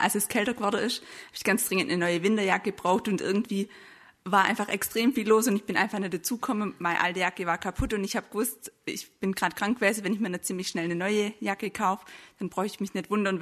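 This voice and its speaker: A woman's voice: mid-range voice, fairly even intonation, fast, fairly bright tone. Chatty woman telling a story. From Germany. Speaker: testimony speaker. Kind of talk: personal testimony at an event.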